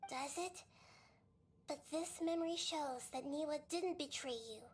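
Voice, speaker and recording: A woman voicing a gentle, wise female goddess in a video game, telling the story in a calm, measured way. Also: high-pitched. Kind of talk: video game voice acting, narration.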